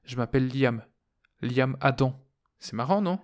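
A man's voice: medium pitch, unhurried, natural voice. An earnest male astronaut in a science fiction audiobook, voiced by a male narrator. He is pleading and insistent, reasoning hard with his crewmate. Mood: amused. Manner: reasoning, pressing.

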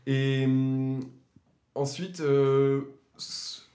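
A man's voice: mid-range voice, steady tone, extremely slow, dark tone, roomy sound. A man hosting a podcast, asking questions in an informal, slangy way with many fillers. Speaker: podcast host. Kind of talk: casual podcast interview.

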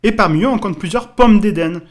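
A man with a somewhat high voice co-hosts a casual podcast. He runs through references at a brisk clip, with short agreeing replies in between. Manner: brisk, says "voilà" often. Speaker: podcast co-host. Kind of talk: casual chat listing references.

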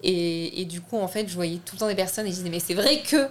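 Hesitant young French woman, lowish voice. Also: lively intonation, fast talker. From France. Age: young adult. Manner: hesitant, many restarts and fillers. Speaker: filmmaker guest. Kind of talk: casual interview.

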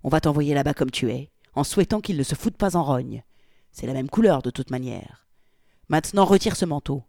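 A woman reading aloud voices a brutal, contemptuous male gangster who curses loudly and barks orders. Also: sits low, lively intonation, a little fast, creaky, dry recording, clean studio sound, exaggerated voice. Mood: angry, threatening. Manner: loud, cursing, barking orders.

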